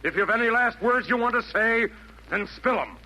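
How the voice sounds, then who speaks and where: A man's voice: very high-pitched, normal speed, pushed and bright, full character voice. An actor playing a vain, scheming male villain from hell, delivering pompous, florid rhymed verse in theatrical 1940s radio style. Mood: boastful, menacing. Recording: noisy vintage 1940s radio broadcast.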